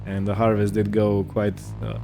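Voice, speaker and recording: A man giving casual roleplay gameplay commentary in a hesitant, rambling, unhurried manner. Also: on the low side, completely flat delivery, vocal fry, music in the background. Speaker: gaming video host.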